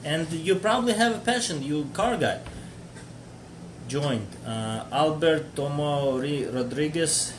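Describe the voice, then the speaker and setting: A man's voice: a little high, animated, takes it slowly. A man from Georgia hosting a casual live stream chat, chatty and joking as he answers viewers. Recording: echoey room with faint noise.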